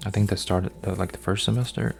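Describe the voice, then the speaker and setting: A man in his twenties, an ASMR creator, rambling softly with lots of fillers and restarts while folding paper, sometimes dropping to a whisper. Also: deep voice, steady tone, fast, creaky, slight background noise. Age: 20s. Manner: meandering, full of fillers and restarts. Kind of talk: soft-spoken rambling ASMR.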